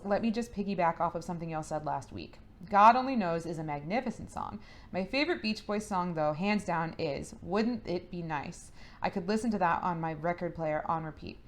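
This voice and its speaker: A woman co-hosting a podcast, reading a listener email and answering it in a relaxed, soft way. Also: on the low side. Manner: relaxed, soft-spoken, trails off quietly. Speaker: podcast co-host. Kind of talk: casual chat, reading listener mail.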